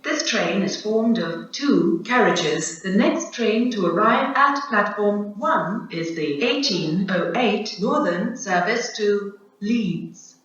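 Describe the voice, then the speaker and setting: An automated station announcer, a woman, reading railway announcements in measured, evenly stitched phrases. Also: medium pitch, expressive. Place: outdoor railway station platform. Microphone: public address speakers recorded from a distance. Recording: echoey muffled station tannoy from far off.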